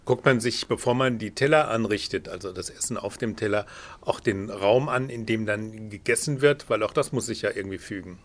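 A man's voice: lowish voice, fairly quick. Male presenter and interviewer, fluent and polished, suited to scripted reporting and conversational questions. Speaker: radio interviewer and narrator.

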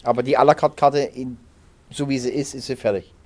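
A man in his thirties from Berlin, a head chef answering interview questions in a halting way with many fillers and restarts. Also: mid-range voice, steady tone, a little mellow. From Berlin, raised in southern Germany. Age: 30s.

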